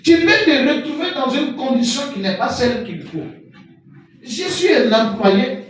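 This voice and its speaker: A man preaching a sermon as pastor, animated and full of everyday examples. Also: very high voice, a little slow. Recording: echoey hall from far off with music.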